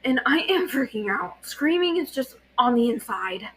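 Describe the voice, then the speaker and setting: A woman reads as a stoic, sarcastic teenage boy in an audio story. The delivery is terse and stressed, with a bright edge, on a slightly noisy home recording. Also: high voice, animated, normal speed, pitched above the performer's own voice. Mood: strained, wry.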